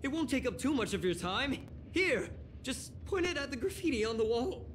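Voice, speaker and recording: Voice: high-pitched, very animated, normal speed, a little hiss or hum. An actor voicing a flustered, earnest space station investigator in a video game, hesitant and exclaiming. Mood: flustered, pleading. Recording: game music behind the voice.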